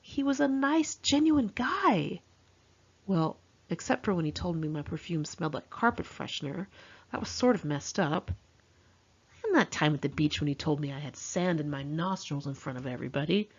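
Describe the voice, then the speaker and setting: A woman narrates a confessional memoir in a wry, conversational voice. Her delivery is very animated, swinging up and down for dramatic effect, with a somewhat husky tone. The recording is dull and lo-fi, like a homemade audiobook. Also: low voice, normal speed. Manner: candid, wry, dramatically expressive. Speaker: author reading own memoir. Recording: muffled lo-fi home recording.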